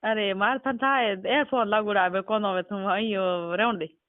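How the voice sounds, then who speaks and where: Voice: mid-range voice, fairly even intonation, a little slow, no echo. A person with a Rajasthani accent speaking informally on a phone call, asking insistent, repeated questions. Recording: muffled band-limited phone call recording.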